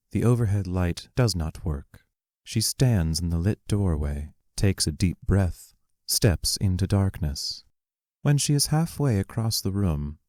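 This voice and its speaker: A man reading the stage directions of a play aloud as scripted narration, plainly and deliberately. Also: low-pitched, a little mellow, no room sound, studio quality.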